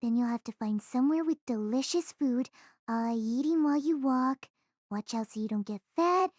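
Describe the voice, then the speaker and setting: A cheerful, chatty schoolgirl acted by a woman with a high voice and mellow tone. She is bossy and teasing, in anime audio drama style, recorded very dry. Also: normal speed, no room sound.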